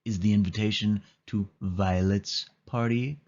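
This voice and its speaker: A man's voice: fairly low pitch, steady tone, unhurried, a little mellow, muffled recording. A man voicing a bossy, scornful young girl in a children's storybook, exclaiming her lines.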